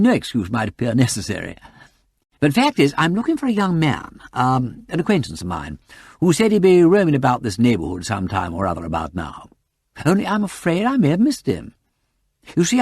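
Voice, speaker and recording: Man playing a lively, affable upper-class English gent. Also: medium pitch, husky, no echo, studio quality, pitched above the performer's own voice, heavily acted. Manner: polite, breezy, rambling, nervously chatty.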